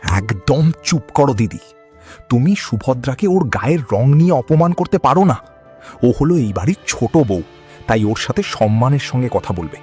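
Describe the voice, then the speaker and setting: A man's voice: medium pitch, big pitch swings, normal speed, dark tone, faint music behind, natural voice. A man voicing a supportive, protective young husband in a village cartoon, speaking earnestly. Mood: firm. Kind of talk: cartoon voice acting.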